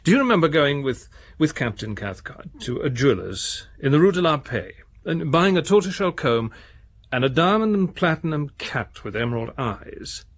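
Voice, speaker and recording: An actor playing a courteous upper-class English amateur detective, gently probing with questions, in a radio murder mystery. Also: male voice, fairly high pitch, very animated, normal speed.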